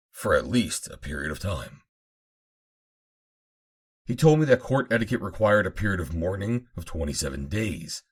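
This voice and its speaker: A man reading an adventure novel aloud as an audiobook narrator, in a measured, formal storytelling manner. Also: low-pitched, husky. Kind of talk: dramatic scripted narration of an old adventure novel.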